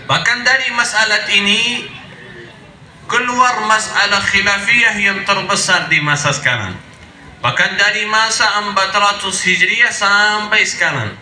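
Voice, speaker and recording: A man's voice: high voice, bright, forceful tone, echoey room, slight background noise, rough recording. A man preaching to a live congregation with an Arabic accent, slightly stuffy from a cold, often asking the crowd to answer back. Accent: Arabic-accented Indonesian. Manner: call-and-response with the crowd, emphatic. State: has a cold. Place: outdoor religious gathering on a street.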